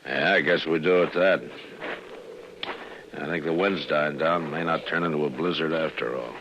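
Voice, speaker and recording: An American man in a 1950s radio western, playing a calm, steady marshal with an authoritative manner and gravelly voice. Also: extremely low pitch, normal speed. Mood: firm, even. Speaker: lead radio actor. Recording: muffled, noisy old radio recording.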